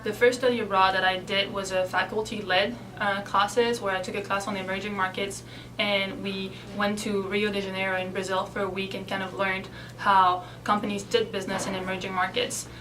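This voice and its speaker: A young woman from Belgium talks through her answers in an easy, chatty way, pausing now and then. She sounds a bit away from the mic, with faint noise behind her. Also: medium pitch, flat delivery, distant mic. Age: 20s. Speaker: interviewee. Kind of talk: casual interview.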